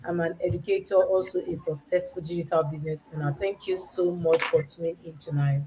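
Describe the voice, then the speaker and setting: An educator from Nigeria greeting viewers cheerfully on a live stream. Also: female voice, fairly low pitch, steady tone, a little fast, a crowd in the background, low-quality recording.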